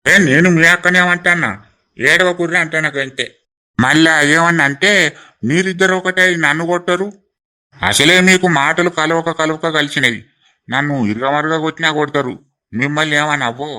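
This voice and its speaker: A man acting a sharp-voiced, teasing village brother-in-law. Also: on the high side, steady tone, normal speed. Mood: mock-aggrieved. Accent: Telangana. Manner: bantering, complaining. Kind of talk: rural family drama acting.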